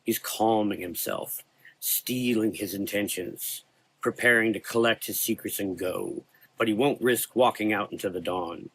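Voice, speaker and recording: A man playing a frail, weary old monster hunter in a gothic audio drama, solemn, restrained and quietly menacing. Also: fairly low pitch, unhurried, husky, heavily acted. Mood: grim.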